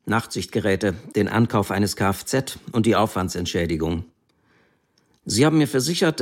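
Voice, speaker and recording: An actor reading the court statement of a defiant ex-soldier defendant in a combative, insistent manner. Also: male voice, lowish voice, darkish tone, close to an everyday voice. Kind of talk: re-enacted courtroom testimony.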